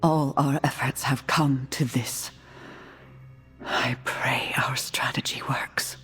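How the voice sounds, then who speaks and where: An actress playing a solemn, humble undead noblewoman in a video game cutscene, measured and earnest. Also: a bass voice, fairly even intonation, a bit bright, slightly rough, in a whisper, heavily acted. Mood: grave. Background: music.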